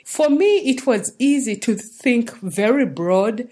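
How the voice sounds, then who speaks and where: Woman, faith teaching, slow and earnest, fairly high voice. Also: expressive, mellow. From Uganda. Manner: earnest, encouraging, unhurried. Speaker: show host. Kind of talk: faith-based teaching talk.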